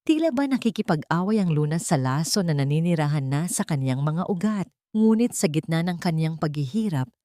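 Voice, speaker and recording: A woman's voice: low-pitched, a little mellow, no room sound, studio quality. A woman with a Filipino accent reading a scripted audio story in a dramatic storytelling style.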